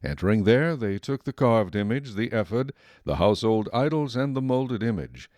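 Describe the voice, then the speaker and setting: A man reading scripted Bible narration in a measured, solemn storytelling manner. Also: lowish voice, lively intonation, darkish tone, no room sound, studio quality. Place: dry studio.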